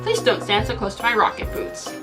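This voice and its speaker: A woman's voice: a little high, sing-song, a little fast, recorded from a distance, over music. A woman voicing a cocky, flippant male armored superhero in a comic story, brash and dismissive. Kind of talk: comic amateur storybook reading.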